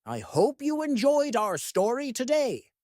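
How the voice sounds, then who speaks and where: An expressive storyteller reads a picture book for young listeners. The delivery swoops up and down at a measured, slow pace. The sound is close and dry. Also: high voice, no echo. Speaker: read-aloud host. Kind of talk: expressive children's storybook read-aloud.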